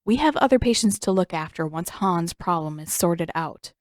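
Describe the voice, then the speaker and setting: A woman voicing a firm, brisk, no-nonsense healer and teacher in an audio story. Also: lowish voice, lively intonation, darkish tone, dry recording, clean studio sound, natural voice.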